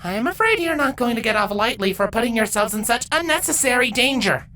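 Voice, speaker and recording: Woman doing a firm, high-voiced mare mentor. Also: expressive, pushed and bright, slight background noise. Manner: measured, lecturing.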